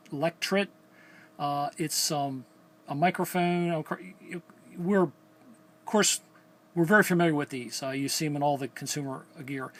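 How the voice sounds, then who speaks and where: A middle-aged man, a radio hobbyist chatting casually and explaining things in a hesitant, halting way at his workbench. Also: a little high. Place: indoors at a home electronics workbench.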